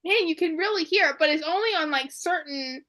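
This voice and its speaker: Podcast host: Midwestern woman, high bright voice, laughs easily. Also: brisk. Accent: Midwestern American. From Chicago. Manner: laughs often, bubbly. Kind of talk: casual chat.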